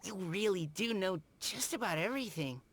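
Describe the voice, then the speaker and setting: A woman's voice: medium pitch, expressive, moderate pace, lightly acted. A woman voicing a polite, eager and curious outdoor surveyor in a video game. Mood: grateful, admiring. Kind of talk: video game character acting.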